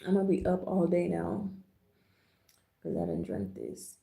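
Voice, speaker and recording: A woman's voice: on the low side, big pitch swings, measured pace, vocal fry, dark tone. A woman with an American accent, chatting casually and in a laid-back, slangy way as she hosts a livestream. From Jamaica, raised in the US. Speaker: livestream host. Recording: roomy, echoing livestream audio.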